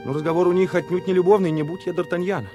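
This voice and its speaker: A man's voice: high voice, steady tone, quick pace, dark tone, dull audio, faint music behind, close to an everyday voice. An actor playing a brash, wide-eyed young swordsman in a radio play, exclamatory and theatrical. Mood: awed, curious.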